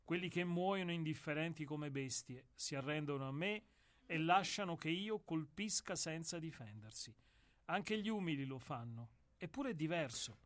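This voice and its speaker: An actor in a radio play, voicing a somber, philosophical executioner who speaks in a grave, measured and deferential way. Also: male voice, a little high, animated, lightly acted. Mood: brooding. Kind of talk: radio drama acting.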